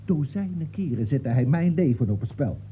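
A man's voice: medium pitch, expressive, moderate pace, phone-quality sound, close to an everyday voice. An actor playing a boastful, indignant seasoned policeman from a novel, blustering in an old radio play. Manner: blustering, self-important. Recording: thin, noisy old radio recording.

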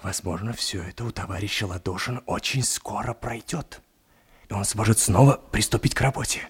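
An actor in a radio play voicing an embarrassed, ingratiating official, husky and halting, politely confidential. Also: male voice, on the low side. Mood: awkward, confidential. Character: embarrassed, ingratiating male official asking a discreet favour. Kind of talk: theatrical radio drama acting.

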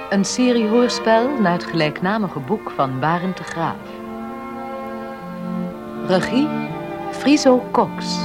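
Brief: female radio-play announcer, slow and formal, expressive intonation, string music behind. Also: mid-range voice. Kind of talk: scripted introduction.

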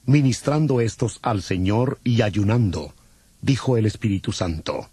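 A man reading scripted scripture narration in a solemn, measured way. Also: fairly low pitch, expressive, dark tone, breathy edge, no room sound. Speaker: Bible narrator. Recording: music under the voice.

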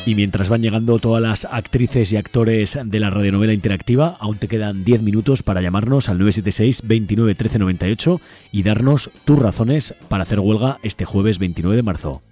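A man's voice: on the low side, a little fast, music in the background. A man with an accent from Spain presenting an informal activist radio show in a conversational, politically engaged way. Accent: Spanish from Spain. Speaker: radio host.

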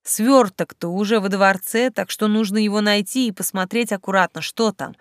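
A woman voicing a cheeky, quick-witted young bride-to-be in an audiobook. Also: medium pitch, steady tone, no room sound, clean studio sound, natural voice. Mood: practical. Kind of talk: audiobook character acting.

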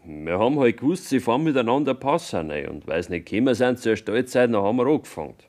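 An actor playing a reticent young farmer's son with a Bavarian accent, answering plainly in a radio play. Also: male voice, mid-range voice, very animated, fast, darkish tone, lightly acted. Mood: guarded. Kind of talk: 1970s German radio play acting.

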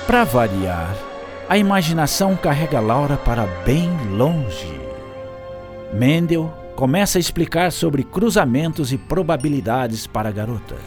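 A man narrating a scripted radio drama as a wry, animated storyteller. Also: fairly high pitch, a little slow, over music.

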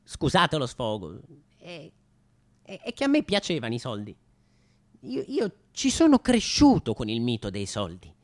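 A man's voice: mid-range voice, wildly swooping pitch, measured pace. A man in his late twenties performing a satirical radio comedy monologue, theatrical and mock-nostalgic, with rhetorical questions and hesitant repetitions. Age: late 20s.

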